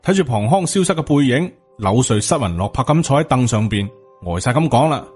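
A man's voice: mid-range voice, very animated, a little fast, a little mellow, breathy edge, faint music behind. A man with a Hong Kong Cantonese accent reads a novel aloud as a scripted audiobook narrator.

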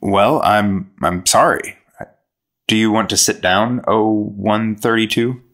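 An actor playing an earnest, naive young FBI agent, clean-cut and stiff, in 1950s radio drama style. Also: male voice, lowish voice, steady tone, takes it slowly, fairly bright tone. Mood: eager, polite.

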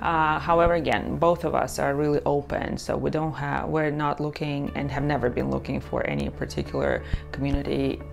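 A middle-aged woman speaking hesitantly in an interview, with many pauses and fillers. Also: deep voice, animated, gravelly. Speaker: interviewee, immigrant. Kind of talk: personal testimony to camera. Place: indoor studio against a light wall. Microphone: lapel mic. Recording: music underneath.

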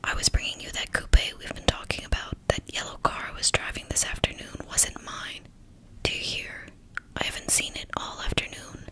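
A woman voicing a gruff, commanding man who stays in control, all in a whisper. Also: moderate pace, natural voice. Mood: grave.

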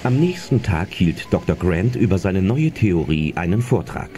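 A man's voice: sits low, big pitch swings, moderate pace, dark tone, soft background music. A man narrating a scripted audio drama as a storyteller.

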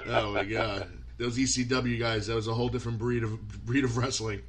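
A man's voice: medium pitch, steady tone, a little fast, crowd noise. A man hosting a podcast interview, asking eager questions with frequent stammers. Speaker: podcast host. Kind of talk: casual interview.

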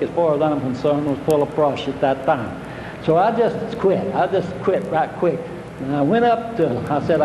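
Older man, fairly high voice, folksy seminar speaker, recorded in a reverberant hall with faint hiss. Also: lo-fi sound. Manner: homespun, repetitive, plainspoken. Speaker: fishing instructor.

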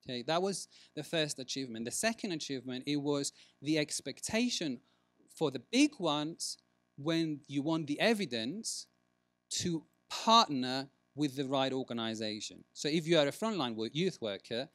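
A man's voice: fairly high pitch, animated, normal speed, a bit bright, dry recording. Man presenting, dry sound. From Greece. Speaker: researcher giving a conference talk. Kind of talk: conference presentation with discussion. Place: large conference room, at a lectern.

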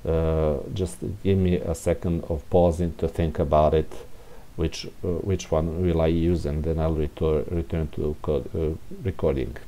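Low, mellow, slow-talking man thinking aloud. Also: flat delivery. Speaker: presenter of a programming tutorial. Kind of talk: informal unscripted screencast walkthrough of code. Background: room heater hiss.

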